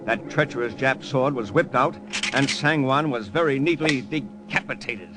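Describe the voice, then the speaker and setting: An actor playing a brash, tough American lieutenant who narrates an old-time radio adventure with slangy, blunt delivery and an American accent. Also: male voice, mid-range voice, moderate pace, heavy background noise, natural voice. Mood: tense, dramatic. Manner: slangy, blunt, hard-boiled.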